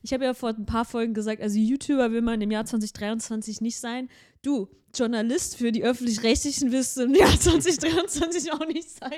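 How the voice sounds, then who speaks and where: A woman's voice: on the high side, steady tone, fairly quick, darkish tone. Young woman, podcast co-host, casual chat, rambling, many fillers.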